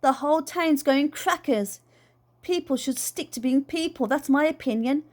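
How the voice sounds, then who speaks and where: A woman voicing a bossy, no-nonsense storybook aunt, brisk, opinionated and full of disapproval. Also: sits high, pitched above the performer's own voice, close to an everyday voice. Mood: scornful.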